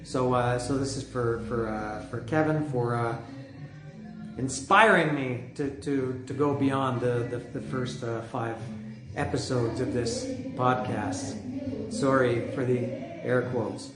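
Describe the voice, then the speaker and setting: A middle-aged man hosting a music podcast from home, talking loudly in a casual, rambling way with many restarts. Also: medium pitch, takes it slowly. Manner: chatty, rambling, with frequent restarts; loud voice. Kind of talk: casual monologue. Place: home, street music outside. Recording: echoey room with music outside. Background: music from outside.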